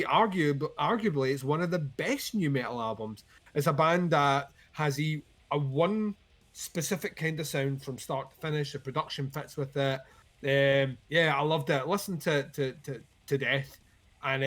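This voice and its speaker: A man's voice: on the high side, fairly bright tone. Rambling, swearing man chatting about metal. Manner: rambling, many fillers and restarts, swears freely. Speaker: co-host. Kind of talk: casual chat about metal albums.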